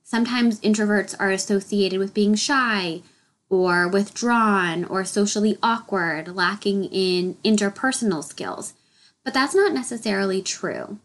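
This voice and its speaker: A woman hosting her solo podcast, a little nasal and congested from a head cold, explaining a topic in an upbeat way. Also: mid-range voice. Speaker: podcast host.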